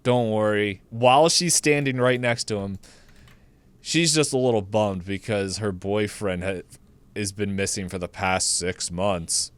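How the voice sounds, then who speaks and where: A man's voice: fairly low pitch, measured pace, clear, resonant tone. A man co-hosting a podcast, giving a casual, rambling, comedic movie recap and acting out the characters' lines in his own words. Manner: laughs through the telling.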